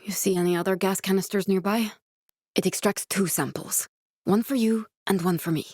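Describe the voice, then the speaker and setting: A woman's voice: medium pitch, fairly even intonation, normal speed, darkish tone, no room sound, lightly acted. Casting: female actor as determined young woman scientist for a game, caring, earnest and direct, mid-range voice, very dry recording. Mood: matter-of-fact, businesslike. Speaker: video game voice actress. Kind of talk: naturalistic dramatic video game dialogue.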